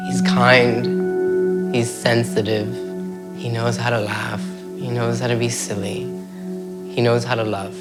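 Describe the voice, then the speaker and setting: A man's voice: mid-range voice, level tone, slow pace, pauses often. A young man from South Florida speaking reflectively and earnestly in a documentary interview. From South Florida, United States. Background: soft music score.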